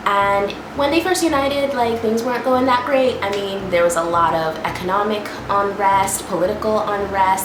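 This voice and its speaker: American woman chatting in an echoey, noisy room. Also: lowish voice. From northeastern United States. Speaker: vlogger. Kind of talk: casual explanatory chat to viewers. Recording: roomy echo, clearly audible background noise.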